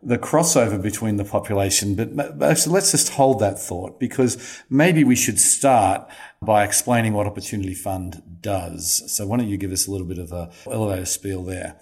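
A man hosting a podcast, with a voice that sits on the low side. He introduces his guest and asks questions in a relaxed, conversational way, often restarting his sentences. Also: moderate pace, breathy edge. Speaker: podcast host. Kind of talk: podcast interview.

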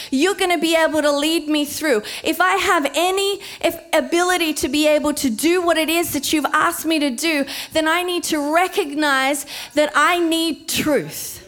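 A woman in her forties preaching a sermon, slowing to stress key words. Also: high voice, fairly bright tone. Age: 40s.

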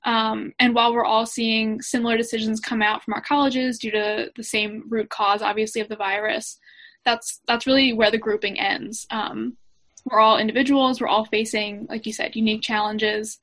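Higher-voiced college-age woman, thoughtful. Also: level tone, normal speed, a bit bright. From Pennsylvania, USA. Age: around 20. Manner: thoughtful, with frequent ums and uhs. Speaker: panel guest, college student. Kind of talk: discussion on a panel.

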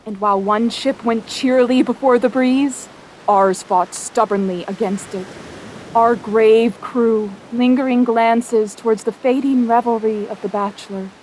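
A woman's voice: on the high side, fairly even intonation, measured pace, a little mellow, slight background noise, close to an everyday voice, rough recording. A woman playing the grave, wry seafaring narrator of a whaling tale, in dramatic scripted narration. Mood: storytelling.

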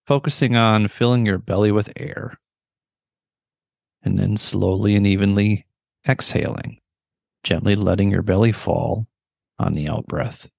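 A middle-aged man guides a meditation in a low voice with plenty of gravelly creak. His phrasing is measured, gentle and instructional, broken by pauses. The recording is dry and close, with very little room sound. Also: no room sound.

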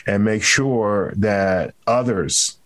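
Man, low voice, podcast host, interview commentary, measured and deliberate phrasing. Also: a bit bright.

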